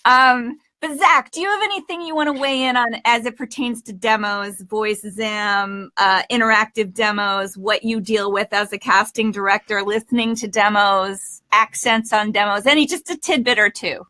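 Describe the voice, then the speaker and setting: A woman's voice: mid-range voice, moderate pace, few pauses, sharp tone. A woman voice coach hosting a chatty live interview. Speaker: host and voice coach.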